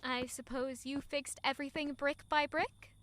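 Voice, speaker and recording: A woman's voice: sits high, steady tone, normal speed, a bit bright, a little hiss or hum. A woman playing a romantic, curious young woman in an audio drama, narrating and speaking with a playful sense of wonder. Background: faint music.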